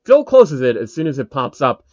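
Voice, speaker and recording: A man's voice: mid-range voice, sing-song, normal speed, a little mellow, dry recording, lo-fi sound. A man reading a scripted story aloud as narrator, with occasional asides of his own.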